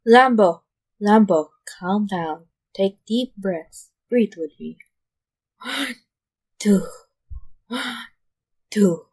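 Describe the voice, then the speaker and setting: A woman's voice: mid-range voice, drawn-out words, pauses often, a little mellow, slightly rough, full character voice. A woman plays a young man in charge, worn out and exasperated. He speaks with long-suffering patience as he gives advice in an audio story. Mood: urgent calming. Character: weary, exasperated young male mafia boss giving advice.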